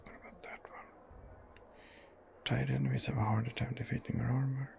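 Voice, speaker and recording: A man softly narrating a video game in ASMR style, musing hesitantly as he thinks aloud. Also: medium pitch, level tone, fairly quick, vocal fry, a little hiss or hum. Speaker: gamer narrating a role-playing game playthrough. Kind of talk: whispered ASMR game commentary.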